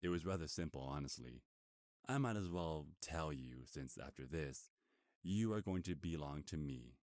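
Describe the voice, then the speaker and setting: Casting: male actor for smug werewolf captor, ASMR roleplay. Rock-bottom pitch, very dry sound, seductive, possessive, taunting delivery. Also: lively intonation, moderate pace, a little mellow, no room sound. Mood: seductive gloating. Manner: taunting, possessive, smooth-talking.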